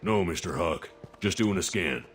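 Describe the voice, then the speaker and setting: Low-toned, dutiful security guard. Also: male voice, expressive, moderate pace, fairly bright tone, slight background noise. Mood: deferential, wary. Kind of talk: video game cutscene acting.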